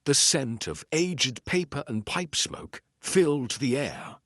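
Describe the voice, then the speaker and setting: A man narrating a detective story in a measured, deliberate, scripted dramatic style. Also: mid-range voice, lively intonation, a bit bright, no echo, studio quality. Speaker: audiobook narrator.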